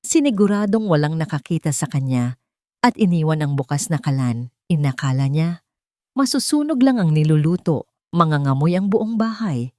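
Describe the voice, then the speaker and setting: A woman reading scripted dramatic narration as a storyteller, with deliberate phrasing. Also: low-pitched, sing-song, warm tone, no echo, clean studio sound.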